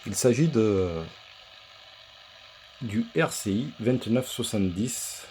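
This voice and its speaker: A man presenting an unscripted workshop demonstration as a repair technician, explaining things in a halting, hesitant way. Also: on the low side, a little mellow, a little hiss or hum. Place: indoor electronics workshop.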